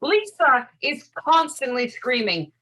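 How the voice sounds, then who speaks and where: A woman's voice: high-pitched, very animated, slow pace, a bit bright, exaggerated voice. A woman acting in a play reading as an exasperated, sardonic parent of a teenager, blunt and dry in clipped, skeptical lines. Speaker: actor. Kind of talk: staged play reading, naturalistic dialogue.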